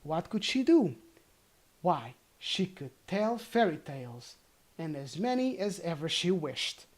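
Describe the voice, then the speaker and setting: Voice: medium pitch, sing-song, slow pace. A storyteller narrating a fairy tale informally, playfully correcting themself and dropping in casual asides. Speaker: storyteller reading aloud.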